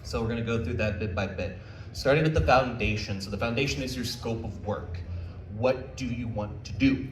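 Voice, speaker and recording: A man's voice: medium pitch, moderate pace. A man giving an informal, jokey live talk as a panel presenter, taking questions in a conversational way. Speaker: convention panel presenter, visual novel developer. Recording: roomy, distant mic, faint background noise.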